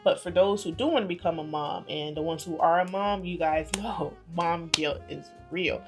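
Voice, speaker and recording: A woman in her thirties chatting casually to camera as a vlogger, playful and confiding, her loudness and pace rising and falling a lot. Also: on the low side, moderate pace, faint music behind. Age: 30s.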